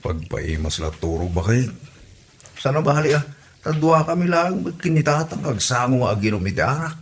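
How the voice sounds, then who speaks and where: A man's voice: medium pitch, very animated, moderate pace. A man playing a jovial, coaxing Filipino son-in-law in a comedy audio drama, with an Ilocano accent. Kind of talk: hobby comedy radio drama acting. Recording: noticeable background noise.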